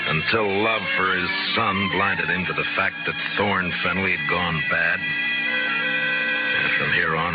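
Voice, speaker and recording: Deep-voiced, stern frontier marshal. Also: male voice. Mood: grim narration. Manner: dry, clipped, sardonic. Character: stern, principled frontier town marshal, unbribable lawman. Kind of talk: 1950s radio western acting. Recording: muffled old radio recording, music behind.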